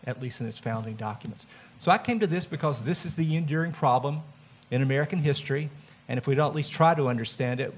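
A man with a Southern US accent, an author speaking earnestly on a panel at a live event. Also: mid-range voice. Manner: earnest, explanatory. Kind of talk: panel talk. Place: festival event room.

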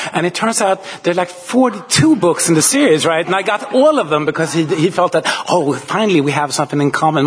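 A man's voice: fairly high pitch, slightly rough. A man, an author on a panel, telling dry, amusing anecdotes in a casual way. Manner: anecdotal, wry storyteller. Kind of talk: panel conversation. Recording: long hall reverb.

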